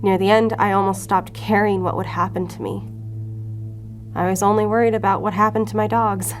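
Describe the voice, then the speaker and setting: A woman's voice: mid-range voice, steady tone, brisk, soft background music, close to an everyday voice. An actress playing an earnest, determined female agent in an audio drama, pleading and pressing. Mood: earnest probing. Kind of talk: audio fiction drama acting.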